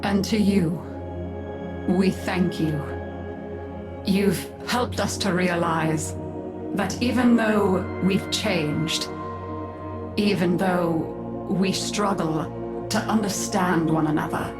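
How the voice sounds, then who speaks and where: A woman playing a proud, formal matriarch in a video game drama, speaking in a measured, stately way. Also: fairly low pitch, music in the background. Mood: gracious, solemn. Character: proud, formal, grieving matriarch of an estranged family.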